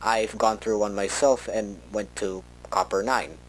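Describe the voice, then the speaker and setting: A man's voice: fairly low pitch, fairly even intonation, unhurried, poor audio. A man playing a researcher recording an informal log tape, talking in a hesitant, conversational way and often interrupting himself. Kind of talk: in-character monologue for a fan horror audio log.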